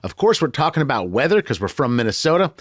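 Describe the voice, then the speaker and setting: An American man hosts a news podcast at a medium pitch. He talks in a colloquial, joking way, close on the mic with very little room sound. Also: big pitch swings, fast, no room sound. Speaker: podcast host. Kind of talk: conversational news podcast hosting.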